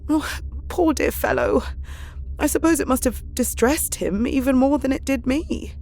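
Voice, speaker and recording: A woman's voice: high voice, normal speed, a little mellow, a little hiss or hum. An actress playing an anxious, devoted young Victorian wife, reading her journal in a wistful, emotional way for an audio drama. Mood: wistful, hurt.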